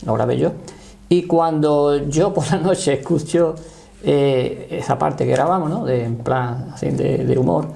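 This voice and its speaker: A man in his sixties with a Spanish accent explains and recounts his experience in a halting, conversational way, often checking he is understood. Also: on the high side, vocal fry, dark tone. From Spain. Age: 60s. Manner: halting, often seeking confirmation. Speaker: interview guest, paranormal voice researcher.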